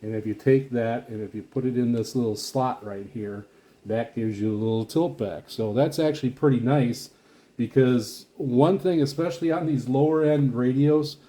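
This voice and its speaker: A man giving casual, unscripted product commentary as a video host, rambling haltingly and often repeating and restarting his phrases. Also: mid-range voice, warm tone. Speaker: video host reviewing radio gear.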